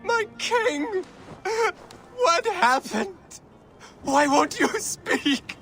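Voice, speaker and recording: A man's voice: very high-pitched, very animated, extremely slow, bright, forceful tone, soft background music, heavily acted. An actor playing a deluded, proud old man in a video game, rambling and grandiose. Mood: frantic, distraught. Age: older. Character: deluded old Saxon man, proud, fretful, wandering-witted. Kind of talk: video game cutscene acting.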